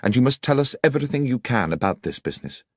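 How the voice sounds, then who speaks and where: Man doing a gentleman detective in an audiobook, brisk n probing, very precise, lively pitch, dry sound. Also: no room sound. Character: brisk, incisive male gentleman detective, coolly authoritative. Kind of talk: audiobook character voice.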